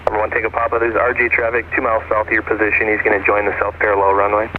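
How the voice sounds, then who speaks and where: Flat, fast male controller on tinny radio. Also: fairly low pitch. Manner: businesslike, procedural. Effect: aviation radio. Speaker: approach air traffic controller. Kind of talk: air traffic control instructions. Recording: tinny, noisy aircraft radio transmission.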